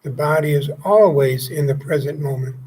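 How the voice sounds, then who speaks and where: A man leading a guided meditation as a teacher, speaking calmly and gently in short phrases with pauses. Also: on the high side, slight background noise. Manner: calm, gently instructive, pausing between short phrases.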